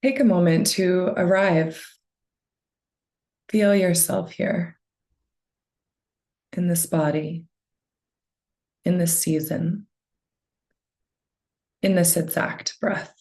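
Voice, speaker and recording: Low, slow, creaky-voiced woman guiding meditation. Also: steady tone. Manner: gentle, unhurried phrasing with long pauses. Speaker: meditation guide. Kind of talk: guided meditation, slow soothing narration.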